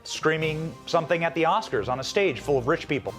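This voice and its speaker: A man's voice: on the high side, animated, brisk, fairly bright tone, soft background music. A man delivering a scripted political monologue as a commentator.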